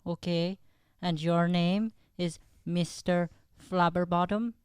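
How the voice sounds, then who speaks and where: Man playing a nervous, very clear-voiced schoolboy. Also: high voice, fairly even intonation, slow, a little mellow, no room sound, clean studio sound. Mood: polite, unsure. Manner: hesitant, nervous, stammering. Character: anxious, polite, apologetic new schoolboy. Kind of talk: improvised old-time radio comedy.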